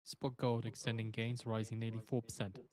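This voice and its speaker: A man reading market news headlines and figures, crisp and scripted. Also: fairly low pitch, flat delivery, a little fast, a little mellow, no room sound. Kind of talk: rapid scripted news read.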